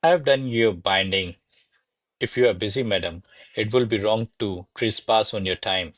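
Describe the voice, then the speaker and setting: An actor playing courtly men of a classical comedy in a radio play, with formal, florid theatrical delivery. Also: male voice, on the low side, moderate pace, a bit bright, no echo, natural voice. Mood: pompous, ardent. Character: courtly servants and grandiloquent noble suitor of classical Spanish comedy. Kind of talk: stage-style radio play acting.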